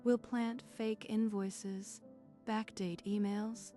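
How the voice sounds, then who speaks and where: A woman playing a cold, calculating schemer in a dramatic manga dub, speaking deliberately. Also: medium pitch, level tone, warm tone, soft background music, natural voice. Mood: cold, scheming. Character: cold scheming woman plotting to frame a man.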